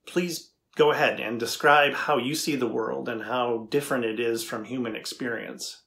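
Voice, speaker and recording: A middle-aged man playing an earnest, curious AI researcher in a dramatized reading, asking questions deliberately. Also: medium pitch, steady tone, gravelly, fairly bright tone.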